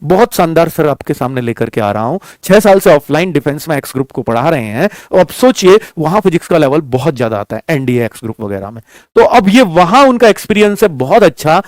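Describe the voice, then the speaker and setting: A man's voice: a little high, very animated, a little fast, dry recording. A man giving an informal coaching talk, explaining things to students and checking in with them often. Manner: explanatory, addresses students as children, frequent check-in phrases. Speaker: coaching teacher and channel host announcing a class.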